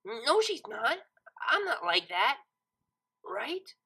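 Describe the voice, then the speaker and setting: Woman voicing a brash, bright-voiced young unicorn. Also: on the high side, big pitch swings, slow, breathy edge, voice pushed up, heavily acted. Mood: despondent. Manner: slangy, stammering, defensive. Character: brash young unicorn mare, spiteful, easily flustered.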